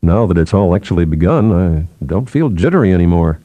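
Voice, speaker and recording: A man's voice: sits low, expressive, a little fast, dark tone, no echo. A man voicing a wry, nervy man resigned to looming catastrophe, in a hesitant, questioning way for an audiobook. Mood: resigned gaiety.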